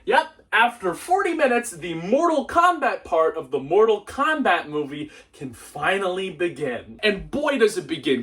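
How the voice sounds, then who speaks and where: A young man doing comedic movie review commentary in a sarcastic, casual, animated way. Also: high-pitched, distant mic. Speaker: movie reviewer and video host.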